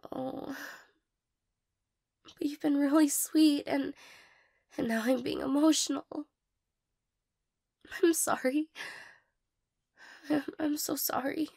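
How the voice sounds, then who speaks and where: A young woman playing a shy, insecure, tearful girl in an intimate romantic audio roleplay, hesitant, stammering and apologetic, with a breathy, creaky voice. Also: high voice, fairly even intonation, slow pace, long pauses, darkish tone, heavily acted.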